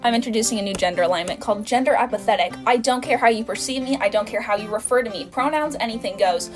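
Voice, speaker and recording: A woman's voice: fairly high pitch, over music. A woman speaking in a brash, defiant way in a short casual monologue. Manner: defiant, brash, quick-talking.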